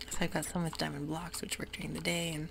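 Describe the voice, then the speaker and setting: A host whispering a slow, meandering tour of a game world, close to the microphone, with frequent pauses and fillers. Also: medium pitch, creaky. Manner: soft mostly unvoiced whisper; hesitant, meandering, with many fillers. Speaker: gameplay ASMR host. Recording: audible hiss with keyboard clicks.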